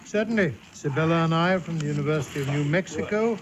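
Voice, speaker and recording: A man's voice: on the high side, quick pace, a crowd in the background, lightly acted. An actor playing a polite, controlling naturalist in a 1980s radio drama, formal and precise in his speech. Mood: courteous. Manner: formal, smooth-talking. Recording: dull, noisy old radio recording.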